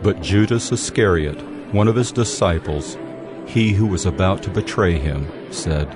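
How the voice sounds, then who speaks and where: A male narrator reading scripture in a calm, even way. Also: low voice, unhurried, music in the background.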